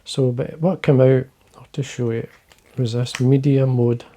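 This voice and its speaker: A man with a Scottish accent, talking quietly and casually to camera from his fly-tying desk, rambling with fillers and restarts. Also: medium pitch, steady tone, unhurried. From Scotland. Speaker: fly-fishing video host.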